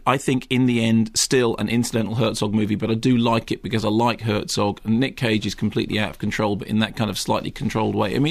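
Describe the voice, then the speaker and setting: Gravelly-voiced man chatting about films. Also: on the low side, no room sound. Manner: opinionated, rambling with restarts and wry asides. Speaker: film critic. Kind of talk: casual radio film review chat.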